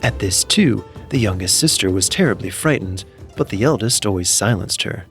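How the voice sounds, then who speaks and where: A man's voice: fairly low pitch, animated, moderate pace, a bit bright. A man narrating a scripted fairy tale as a storyteller, reading in full literary sentences. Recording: music behind the voice. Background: background music.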